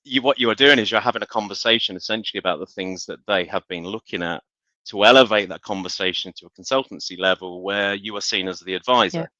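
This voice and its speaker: Male webinar expert, forceful low voice, explanatory, slightly dull call audio. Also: fast, no echo. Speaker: industry expert panelist. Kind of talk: conversational business webinar discussion. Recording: dull, band-limited online call audio.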